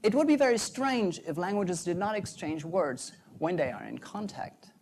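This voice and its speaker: A young adult man, a native German speaker, giving an earnest prepared talk in measured phrases. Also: sits high, expressive, darkish tone, slight background noise. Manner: earnest, measured, pauses between short phrases. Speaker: doctoral researcher giving a talk.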